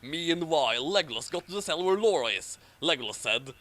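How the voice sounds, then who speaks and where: A man reads an amateur story aloud as its commentator. His voice is a little high for a man, with lively swings in pitch. He also drops in mocking remarks. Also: a little fast. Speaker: reader and commentator.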